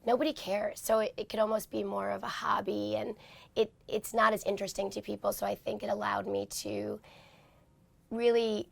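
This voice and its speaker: Hoarse gravelly woman, 40s, chatty and self-mocking, talking in an interview. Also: medium pitch, little pitch movement, no echo. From New York. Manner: chatty, self-deprecating, quick asides. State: hoarse, lost voice. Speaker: interview guest. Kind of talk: casual interview talk.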